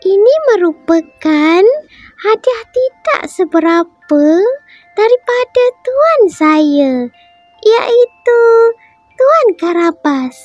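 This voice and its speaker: A woman voicing a clever, scheming male cat servant in a bedtime storybook, in a high, squeaky, pleading voice. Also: big pitch swings, takes it slowly, no echo, muffled recording, soft background music, heavily acted. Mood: urgent. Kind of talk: storybook character voice.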